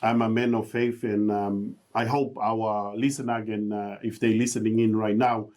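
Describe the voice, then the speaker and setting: A man's voice: lowish voice, level tone, moderate pace, darkish tone. A middle-aged man from Tonga, speaking earnestly as a podcast guest and motivational speaker, his English grammar uneven. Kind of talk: podcast interview.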